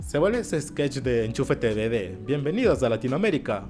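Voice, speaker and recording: A man giving animated, sarcastic commentary in an opinion video. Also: mid-range voice, moderate pace. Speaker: YouTube commentator. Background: faint background music.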